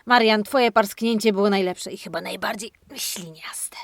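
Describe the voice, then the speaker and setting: A woman hosting a children's podcast, telling a playful interactive story in an animated, exclamatory way, speaking straight to kids and a dog. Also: mid-range voice, normal speed, a little hiss or hum. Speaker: host of a children's speech-exercise podcast.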